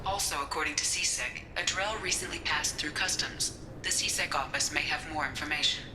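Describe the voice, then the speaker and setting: An impersonal female announcer in a game, acted by a woman. Her low voice reads a formal report, echoing, with loud music behind it. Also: a little fast, lightly acted. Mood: impersonal, informative.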